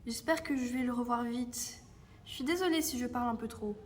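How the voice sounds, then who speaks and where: A woman's voice: a little high, brisk, distant mic, slight background noise, natural voice. A female performer playing a chatty, apologetic thirteen-year-old girl survivor in a French audio drama. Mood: eager, apologetic.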